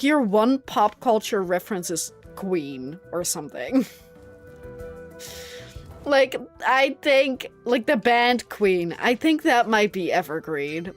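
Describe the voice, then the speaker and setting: A young woman from the Netherlands, a native Dutch speaker, chatting casually and rambling with many fillers while streaming a game, often breaking into giggles. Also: medium pitch. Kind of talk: casual chat while gaming.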